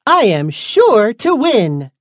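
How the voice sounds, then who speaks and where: Woman voicing a cocky, wildly animated storybook rabbit. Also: fairly high pitch, slow pace, dry recording, studio quality, exaggerated voice. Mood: cocky, boastful. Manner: boastful, emphatic, taunting. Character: boastful, cocky, mocking little rabbit. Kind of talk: children's storybook character voice.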